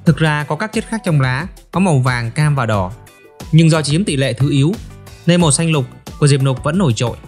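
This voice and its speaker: A man's voice: fairly high pitch, lively intonation, a little fast, a little mellow, soft background music. A man hosting a gardening question segment, reading scripted explanations in an orderly, instructional way.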